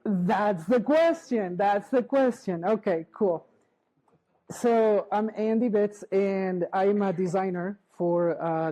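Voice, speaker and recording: A middle-aged woman from Chile, giving a live conference talk in a halting way, restarting often and checking in with the audience. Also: on the low side, warm tone, rough recording. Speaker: conference speaker. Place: conference hall.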